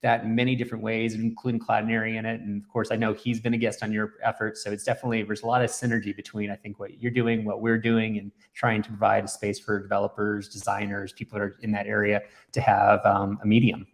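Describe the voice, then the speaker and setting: A man's voice: on the low side, completely flat delivery, quick pace, few pauses. A man hosting a live stream, interviewing his guests in a conversational way.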